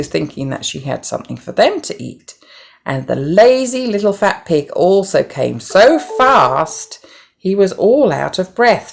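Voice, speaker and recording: A woman reading a children's story as narrator, with an expressive, deliberate storybook delivery. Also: fairly low pitch, vocal fry, husky.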